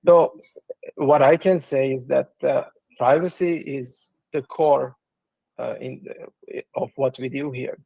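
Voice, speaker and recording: A man's voice: mid-range voice, fairly even intonation, slow pace, vocal fry, a little mellow, no echo, low-quality recording. A man from Turkey, a project's regional business development director, speaking as a guest in a casual livestream interview and explaining with many ums and uhs.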